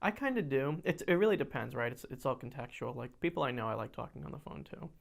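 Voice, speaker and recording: A young man with a gravelly edge to his voice, talking in a relaxed, rambling way on a podcast. He restarts often, uses plenty of fillers and laughs while telling stories. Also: mid-range voice, fast talker. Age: young adult. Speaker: podcast co-host. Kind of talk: casual chat.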